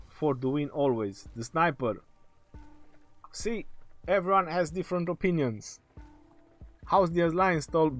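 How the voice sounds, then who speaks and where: Male streamer, fraying hoarse voice, slightly high, casual Q&A host. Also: a bit bright. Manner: reads viewer questions aloud then answers, laughs often. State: hoarse, losing his voice. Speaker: game livestream host. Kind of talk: casual live Q&A reading chat questions. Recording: faint background music.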